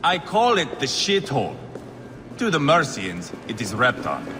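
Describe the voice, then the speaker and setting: A crude, mocking Viking warlord. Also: male voice, mid-range voice, wildly swooping pitch, measured pace, background noise. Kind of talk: video game cutscene acting.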